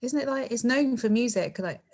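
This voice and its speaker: A woman's voice: fairly high pitch, normal speed, a little mellow. A middle-aged woman, a singer chatting as a podcast guest, laughing often and padding her talk with fillers and tag questions. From England. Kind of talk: casual interview chat.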